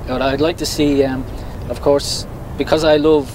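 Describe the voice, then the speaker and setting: A young Irish man with an Irish accent answering interview questions in a relaxed, self-deprecating way, with many "you know" fillers. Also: a little high, normal speed, background noise. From Ireland. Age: young adult. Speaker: interview guest.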